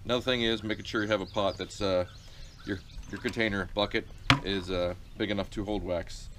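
A man's voice: lowish voice, fairly even intonation, a little fast, very noisy. Rambling middle-aged man outdoors. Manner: rambling, many fillers and restarts. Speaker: beekeeper showing a how-to. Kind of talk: casual how-to demonstration. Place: outdoor farmyard.

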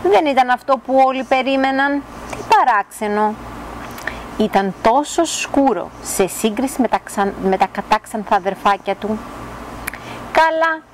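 A woman's voice: a little high, low-quality recording. A young woman reading a children's fairy tale aloud expressively, recorded outdoors in a garden. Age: young adult. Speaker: storyteller reading a children's book. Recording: clear outdoor background noise.

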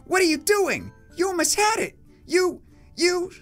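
A man's voice: very high-pitched, big pitch swings, unhurried, fairly bright tone, soft background music, pitched above the performer's own voice, exaggerated voice. A man voicing an eager, cocky young boy monster trainer in a comic manga reading. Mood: excited.

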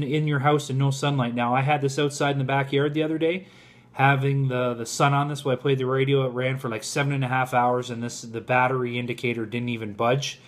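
A man in his forties with a mid-pitched voice gives a casual product review. He talks informally and directly to his viewers, as if to a group of guys. He is indoors at home, speaking toward a camera close in front of him.

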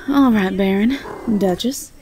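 A woman's voice: mid-range voice, low-quality recording. A woman playing a sweet, folksy Southern farm belle with a Southern US accent in an audio roleplay. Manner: folksy, gentle, hesitant. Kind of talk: fantasy audio roleplay acting.

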